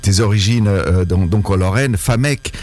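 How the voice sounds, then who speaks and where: A man's voice: fairly low pitch, normal speed, darkish tone. A man co-hosting a radio interview, asking probing questions and adding background details. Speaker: radio co-host.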